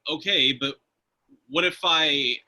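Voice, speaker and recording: Man playing a naive young man, very sharp voice. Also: a little high, takes it slowly, full character voice. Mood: hesitant, unsure. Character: naive, hesitant young man seeking a job. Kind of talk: screenplay table read.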